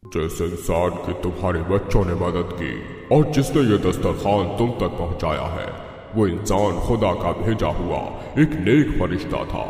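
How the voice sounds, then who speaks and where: A man's voice: sits low, lively intonation, a little mellow, husky, heavily acted. A man playing a solemn, kindly older benefactor with heavenly authority in a cartoon story. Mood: solemn, benevolent. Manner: slow, solemn, benevolent. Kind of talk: Hindi cartoon story acting. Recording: long hall reverb, music behind.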